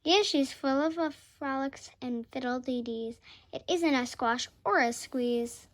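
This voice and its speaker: A woman's voice: high-pitched, measured pace. A female storytime reader narrating a children's picture book aloud.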